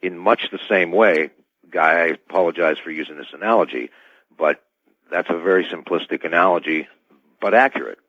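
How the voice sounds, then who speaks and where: A middle-aged man giving formal, halting technical explanations as an expert guest in a radio interview, over a dull band-limited connection. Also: low voice, no echo. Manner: hesitant, formal, technical wording. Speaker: expert guest, scientist and inventor.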